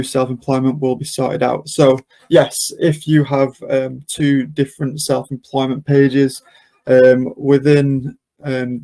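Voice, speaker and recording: Flat-toned man presenting a software webinar. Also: medium pitch, gravelly, poor audio. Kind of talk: live software demo with spoken Q&A.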